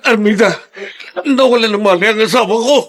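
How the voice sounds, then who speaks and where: An actor playing a worried middle-aged father in a radio drama, halting and grave in manner. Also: high voice, fairly bright tone. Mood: shocked. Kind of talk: Filipino radio drama acting.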